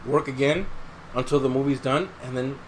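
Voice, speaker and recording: A man's voice: mid-range voice, steady tone, moderate pace, rough recording. A man talking casually as a podcast host, recounting stories in a conversational way. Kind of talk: casual chat. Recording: clear background noise.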